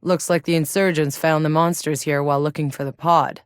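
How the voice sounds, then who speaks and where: A battle-hardened female soldier voiced by an actress in a sci-fi game. Her voice sits on the low side and is recorded close and dry. She delivers her lines bluntly, with a wry, sardonic edge. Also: fairly even intonation, fairly quick, clear, resonant tone, no room sound, lightly acted. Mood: matter-of-fact, focused. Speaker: video game protagonist.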